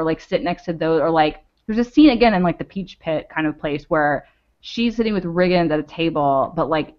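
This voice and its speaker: Lower-pitched woman chatting, lo-fi audio. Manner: rambling, thinks aloud with many "like"s. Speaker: podcast co-host. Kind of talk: casual chat about a TV show. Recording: dull lo-fi audio.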